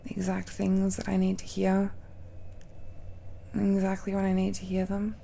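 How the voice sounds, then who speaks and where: A woman voicing a tender, nervous girlfriend of dragon blood in an intimate romantic audio roleplay, speaking haltingly and softly, often dropping into whispers. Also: mid-range voice, monotone, gravelly, a little hiss or hum, lightly acted. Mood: adoring, hesitant. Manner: slow, halting, hushed, often sighing or lapsing into whispers. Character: tender, nervous dragon-descended girlfriend confiding in her lover.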